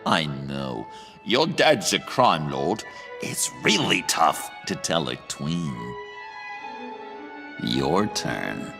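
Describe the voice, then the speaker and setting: An actor playing a deranged, theatrical game villain who is mockingly polite, playful and menacing, swerving suddenly in delivery. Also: male voice, very deep voice, sing-song, drawn-out words, vocal fry, over music, full character voice. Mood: gleeful menace. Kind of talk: video game voice acting.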